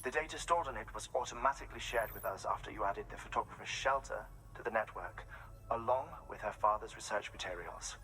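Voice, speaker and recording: An actor playing an eager professorial scientist in a video game, lecturing in an articulate, theatrical way over a radio call. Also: male voice, fairly low pitch, fairly quick, sharp tone, rough recording. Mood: absorbed, lecturing. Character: eager, professorial male scientist delivering theories.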